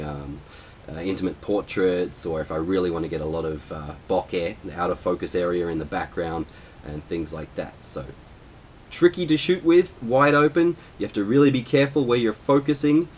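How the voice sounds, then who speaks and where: Deep-voiced man with a head cold. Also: slight background noise. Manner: relaxed, lots of ums and uhs. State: nasal from a cold. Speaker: photography vlogger. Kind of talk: casual tutorial talk to camera.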